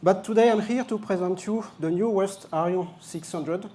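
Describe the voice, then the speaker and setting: A man's voice: high-pitched, normal speed, dark tone, a little hiss or hum. A man from France with a French accent, presenting a product at a live launch event with some jokes and hesitant fillers. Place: event venue.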